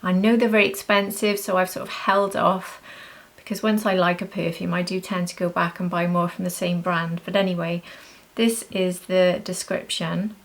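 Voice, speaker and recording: A woman's voice: lowish voice, flat delivery, normal speed, gravelly. A woman chatting casually as a beauty vlogger reviewing products, gushing and repeating words for emphasis.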